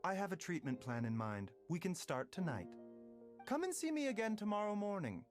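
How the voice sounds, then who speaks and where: Polite mysterious game doctor, formal, voice moves around a lot, faint music behind. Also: male voice, high voice, sing-song, normal speed. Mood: reassuring. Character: calm, courteous, enigmatic male doctor. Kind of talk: video game voice acting.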